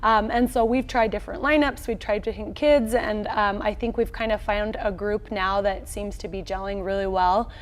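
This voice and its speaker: An American woman in her thirties with a medium-pitched voice. She speaks as a coach being interviewed, in an upbeat, colloquial and straightforward way. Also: fairly even intonation, normal speed, hardly any pauses. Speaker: volleyball head coach, interview guest. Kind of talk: conversational sports interview. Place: small indoor locker-room set.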